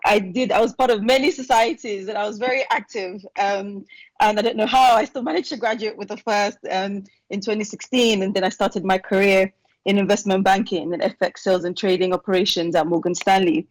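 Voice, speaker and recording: A young woman, born in Nigeria and raised in England, sharing her story with a panel over a remote video call in a chatty way with quick asides. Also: mid-range voice, fairly even intonation, no echo, low-quality recording. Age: young adult. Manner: chatty and warm, quick asides with light laughs. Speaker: alumna panelist.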